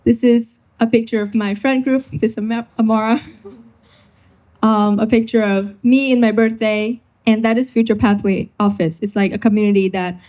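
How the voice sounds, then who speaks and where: Nineteen-year-old woman, slightly high voice. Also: steady tone, a little mellow. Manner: quick, informal self-introduction. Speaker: workshop speaker. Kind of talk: presenting to a live audience.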